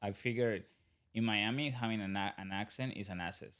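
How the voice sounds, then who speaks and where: A man's voice: fairly low pitch, fairly even intonation, a little fast, a bit bright. A man in his thirties from Colombia with a Colombian accent, a podcast guest talking casually and rambling with restarts. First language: Spanish. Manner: rambling, restarts, laughs often. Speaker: podcast guest, business owner. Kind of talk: casual interview talk.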